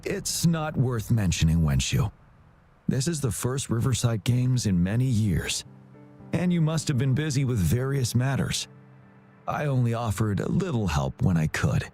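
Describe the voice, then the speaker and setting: Dutiful male officer for a fantasy game: mid-pitched, composed and formal, yet melodic in delivery, light music behind. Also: sing-song, a little slow, warm tone. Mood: composed, helpful. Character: dutiful, courteous young male military officer. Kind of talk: video game voice acting.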